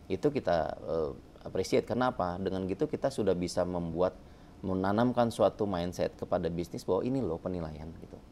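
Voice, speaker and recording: A man's voice: low voice, a little fast, warm tone, slight background noise. A man talking as a guest about bank appraisal work, casual and jokey with many fillers. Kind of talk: casual panel talk.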